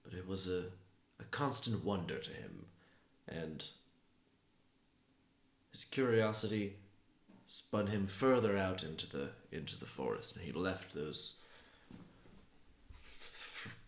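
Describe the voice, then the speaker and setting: Man, bass-deep voice, gravelly fry, halting improvised storytelling, pauses between phrases. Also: lively intonation. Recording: roomy, echoing sound.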